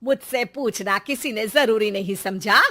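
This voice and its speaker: A woman voicing a bossy, sarcastic, middle-aged mother-in-law in an animated moral story. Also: mid-range voice, unhurried. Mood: angry, sarcastic. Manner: demanding, sarcastic.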